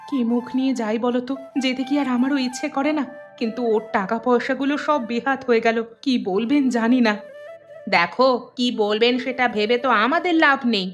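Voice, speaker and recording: A woman's voice: a little high, lo-fi sound, lightly acted. A woman playing a caring village housewife in a cartoon, earnest and concerned. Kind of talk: Bengali cartoon voice acting. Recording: music behind the voice.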